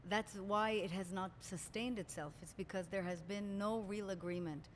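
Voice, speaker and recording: A woman's voice: medium pitch, steady tone, normal speed, big-room sound, slight background noise. A woman with an Israeli accent hosting an interview, effusive and affirming as she responds. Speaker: podcast host. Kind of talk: interview conversation.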